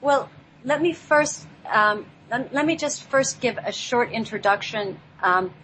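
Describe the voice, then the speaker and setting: A woman giving an unscripted spiritual talk as a guest, pausing often and repeating herself as she searches for words. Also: on the high side, expressive, fairly bright tone, low-quality recording. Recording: clear background noise.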